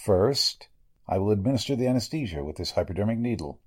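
Man acting a low, husky, sinister villainous doctor. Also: expressive. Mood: calm menace. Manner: formal, measured, condescending villain speech. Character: sinister murderous male doctor, coolly menacing narcotics dealer. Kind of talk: 1940s radio drama acting.

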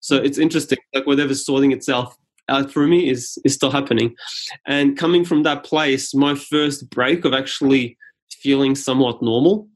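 A man from Serbia, a Serbian speaker, talking thoughtfully as a podcast guest. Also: medium pitch. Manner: thoughtful, measured, earnest. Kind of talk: reflective interview talk.

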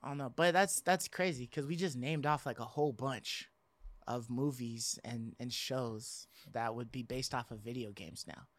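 A man's voice: mid-range voice, moderate pace. A man co-hosting a podcast, talking casually and informally with slang and some mild swearing. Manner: informal, slangy, mildly profane. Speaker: podcast co-host. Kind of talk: casual chat about video game movies.

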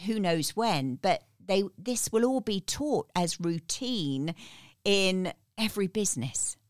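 A woman's voice: medium pitch, expressive, slow pace, no echo, studio quality. A woman guest on a podcast, earnestly explaining energy techniques and repeating her key words. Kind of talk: podcast interview.

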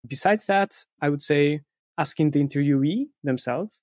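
A man's voice: on the high side, moderate pace, a little mellow, dry recording, studio quality. A man being interviewed, explaining his approach conversationally and often checking in with short tag questions. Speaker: interviewee, content writing professional.

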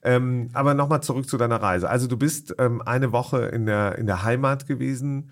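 A man's voice: mid-range voice, normal speed. A man hosting a podcast in a conversational interview style, with hesitant, rambling phrasing full of ums. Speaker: podcast host.

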